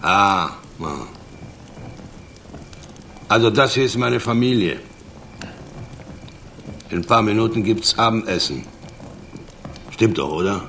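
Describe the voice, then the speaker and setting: A man's voice: lowish voice, animated, slow, pauses often, pushed and bright, slight background noise, heavily acted. An actor playing a wary rural family man in a German radio drama, blunt, bossy and full of questions. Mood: gruffly hospitable. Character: gruff, suspicious rural family patriarch with lamp.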